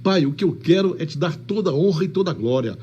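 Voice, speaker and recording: Male preacher, fervent livestream sermon, slightly high voice, faint hum behind. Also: animated, fast talker, mellow, highs cut off. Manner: fervent, repeats blessings, switches into Spanish phrases. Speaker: apostle preaching online. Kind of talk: livestream sermon with shout-outs.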